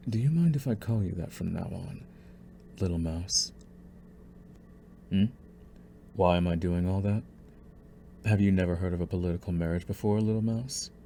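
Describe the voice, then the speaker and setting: A man's voice: low-pitched, mellow, slight background noise. A man playing a young, possessive mafia boss in a scripted ASMR audio roleplay, taunting and condescending in a theatrical, menacing way. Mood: gloating, possessive. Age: young adult.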